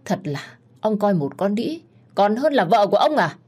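A woman voicing a furious, scornful middle-aged wife and mother, shouting accusations in a dramatic story reading. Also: mid-range voice, sing-song, normal speed, breathy edge, voice pushed up. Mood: indignant.

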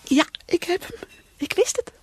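A woman's voice: a little slow, no echo, close to an everyday voice. An actress playing a troubled, self-doubting alcoholic woman who craves peace, in an intimate, wavering, confiding radio play monologue. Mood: desperate, pleading. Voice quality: breathy, often whispering. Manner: confiding, wavering, highly emotional.

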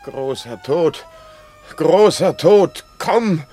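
A man's voice: on the high side, lively intonation, drawn-out words, warm tone, a little hiss or hum. An actor playing a tormented man in dread and despair, declaiming in a poetic radio drama. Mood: pleading.

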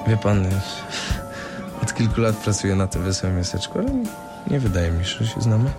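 A man's voice: on the low side, fairly quick, over music, natural voice. A man playing an ordinary, obliging funfair shooting-gallery attendant in a naturalistic radio drama, chatty and a little hesitant. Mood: polite, obliging.

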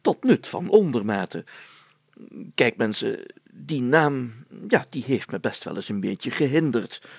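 A man voicing a self-important village mayor in a story, halting and hemming, given to solemn declaiming. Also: mid-range voice, big pitch swings, vocal fry, husky, dry recording, heavily acted. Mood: assured, self-important. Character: pompous village mayor reading old documents aloud, self-important, deliberate.

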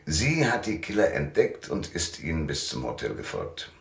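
A hard-boiled, cynical private eye in a noir radio drama. His voice sits extremely low and moves freely in pitch, close and dry in the studio. He speaks in a terse, weary manner. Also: huge pitch swings, moderate pace, gravelly, breathy edge, dry recording, exaggerated voice. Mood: matter-of-fact. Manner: laconic, dry, world-weary. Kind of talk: first-person noir narration and dialogue in radio drama.

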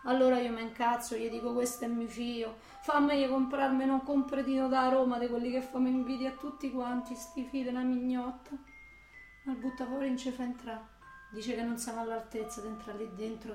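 A woman's voice: on the high side, darkish tone, natural voice. An actress playing a volatile, foul-mouthed young working-class woman from Rome in a radio drama, with a Roman accent and coarse slangy delivery. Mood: indignant, bitter. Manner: coarse slangy street talk. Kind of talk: radio drama acting with long monologue. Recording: echoey room with faint noise.

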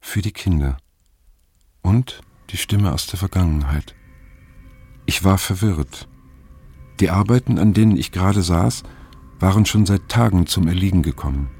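An actor playing a weary, reflective older man in an introspective audio drama, with a gravelly voice and an unhurried manner. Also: low-pitched, a little mellow, soft background music, exaggerated voice. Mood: brooding. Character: weary, unsettled older man looking back on his youth. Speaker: narrator and main character. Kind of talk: introspective audio drama narration and phone dialogue.

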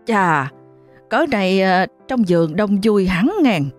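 A woman's voice: medium pitch, expressive, soft background music. A woman voicing a jovial middle-aged village man, a kindly neighbor. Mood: teasing. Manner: teasing, then worried and hurried.

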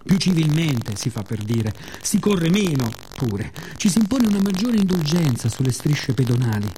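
A man performing a poetic, theatrical radio monologue in a measured, solemn manner with dramatic pauses. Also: fairly high pitch, sing-song, dark tone, low-quality recording. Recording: faint ambient background noise.

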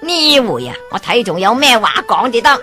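A woman's voice: on the high side, sing-song, fairly quick, sharp tone, soft background music, voice pushed up. A woman voicing a smug, gloating old man who chuckles with scorn in a spoken storybook.

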